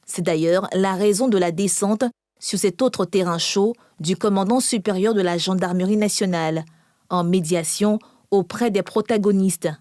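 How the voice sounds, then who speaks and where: A woman's voice: medium pitch, fairly even intonation, normal speed, mellow, no room sound, clean studio sound. A woman reporter narrating a scripted television news report.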